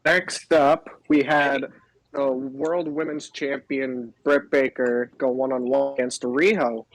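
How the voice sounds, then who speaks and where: A man's voice: medium pitch, level tone, slow pace, no room sound, rough recording. A man co-hosting a podcast, talking casually about pro wrestling. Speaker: podcast co-host.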